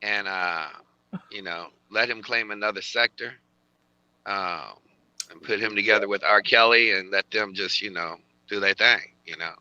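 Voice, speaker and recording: A sarcastic, opinionated man co-hosting a casual chat show. His fairly low voice has a very sharp, tense edge. The audio is dry and muffled, like a lo-fi remote feed. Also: normal speed, no room sound. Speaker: podcast co-host.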